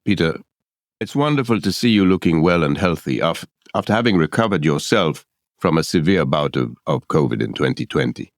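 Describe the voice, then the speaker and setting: Male interviewer, very low voice, measured formal delivery, dry close recording. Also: expressive, no room sound. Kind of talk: interview questions.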